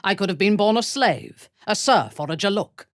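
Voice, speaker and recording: An actress playing a ruthless, haughty female drow commander in a video game, with a husky, breathy voice and a measured, imperious, menacing delivery. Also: medium pitch, expressive, no room sound, clean studio sound, natural voice. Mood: proud, measured. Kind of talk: video game character voice acting.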